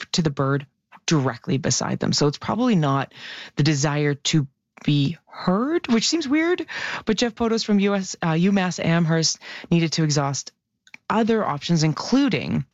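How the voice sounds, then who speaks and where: A woman science columnist explaining research in a lively, animated radio chat, with a naturally loud voice. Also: low voice, normal speed, no echo, highs cut off.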